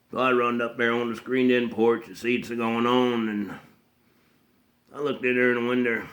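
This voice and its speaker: A man playing a slow, simple, haunted backwoods man with a rural Southern US accent, delivering a halting, plainspoken dramatic monologue in a mumbled voice with throaty grunts and hums. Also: medium pitch, a bit bright. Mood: troubled.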